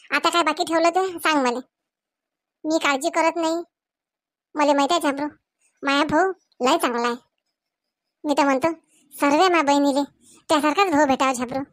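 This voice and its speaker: A woman's voice: sits high, moderate pace, long pauses, fairly bright tone, no room sound. A woman voicing a caring but bossy village aunt in a comedy cartoon, advising and reassuring. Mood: earnest.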